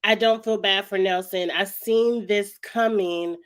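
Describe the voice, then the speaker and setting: A woman hosting her own vlog, talking casually and frankly about a reality show with a raspy, hoarse voice and frequent throat-clearing. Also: medium pitch, fairly even intonation, a little slow. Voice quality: raspy, heavy voice. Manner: chatty, frank, greets live viewers, clears throat often. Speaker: vlog host. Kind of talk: casual reality-TV commentary chat.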